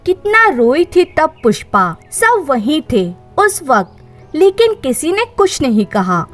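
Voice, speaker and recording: Slow, high-pitched woman storyteller, very animated. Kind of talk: dramatized moral story narration. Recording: faint music behind the voice. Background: faint music.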